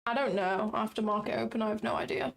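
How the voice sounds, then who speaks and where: A young woman with a raspy voice, chatting casually and thinking aloud as she hosts a live trading stream. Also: mid-range voice, fast. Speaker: trading livestream host.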